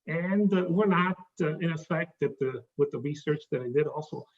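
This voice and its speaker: Halting Italian-accented man, distant lo-fi mic. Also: on the high side. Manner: halting, many fillers and restarts. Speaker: presenter. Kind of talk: pitch presentation to a live audience.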